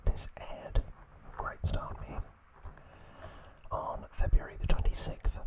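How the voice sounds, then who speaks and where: A man hosting ASMR, reading trivia in a breathy, hushed, soothing whisper. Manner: slow, hushed, soothing delivery. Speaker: ASMR video host.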